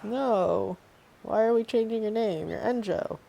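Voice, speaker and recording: A woman's voice: mid-range voice, unhurried, vocal fry, warm tone, big-room sound, low-quality recording. A woman giving casual reaction commentary as she plays a game. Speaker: gamer commenting on gameplay.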